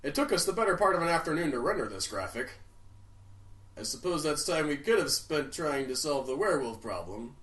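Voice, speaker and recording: Male comedy film reviewer, sarcastic, ranting, very animated pitch, roomy echo. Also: brisk. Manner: sarcastic, swings into loud outraged rants. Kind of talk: comedic movie review narration. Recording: roomy echo, mic at a distance.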